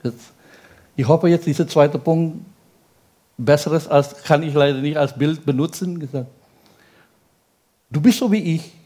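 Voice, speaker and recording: A male pastor preaching in a language that is not his first, peppering his sermon with rhetorical questions and quick checks to the congregation. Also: on the high side, dark tone. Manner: speaks German as a second language.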